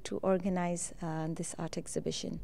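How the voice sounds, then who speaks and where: A woman's voice: on the low side, little pitch movement, normal speed, gravelly, dark tone. A woman hosting an on-location TV interview, effusive and admiring, with frequent uh fillers. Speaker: TV show host. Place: indoors at a school art exhibition.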